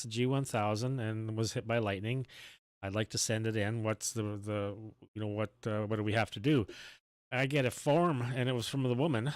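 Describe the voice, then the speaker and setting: A man's voice: medium pitch, clean tone, no echo. A man chatting casually on amateur radio, rambling and searching for words as he goes. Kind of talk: casual on-air radio chat.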